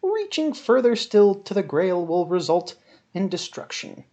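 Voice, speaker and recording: A woman's voice: medium pitch, very animated, a little slow, mellow, dull audio. A woman giving a melodramatic, emphatic dramatic reading of a written review, stretching out words for effect. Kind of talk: theatrical dramatic reading of a monologue.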